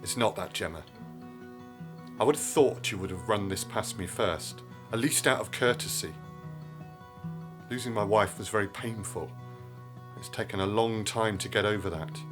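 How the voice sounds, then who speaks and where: A stern, wounded father in middle age, played by a male actor in an audio drama. His voice is low, and music is clearly audible behind him. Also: normal speed, pauses often, close to an everyday voice. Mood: stern, hurt. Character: stern, wounded widowed father of a grown daughter.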